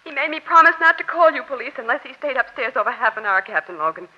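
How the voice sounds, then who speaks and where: A woman's voice: high-pitched, very animated, fast talker. An actress playing an anxious, loyal young woman, earnest and pleading, in 1940s radio drama style. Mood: worried. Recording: muffled old radio recording.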